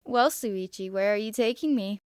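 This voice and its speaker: A woman's voice: medium pitch, moderate pace, a little mellow, clear, resonant tone, no room sound, studio quality, close to an everyday voice. A woman voicing a cheeky teenage boy prankster with a playful, mocking, teasing manner, in an anime fan story.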